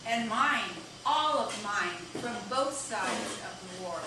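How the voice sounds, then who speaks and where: A woman's voice: medium pitch, expressive, slow. Woman as solemn male president, echoey, distant. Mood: earnest. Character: solemn, reflective Abraham Lincoln in heaven, adult male president. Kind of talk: readers' theater stage reading. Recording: echoey room, distant mic, noisy.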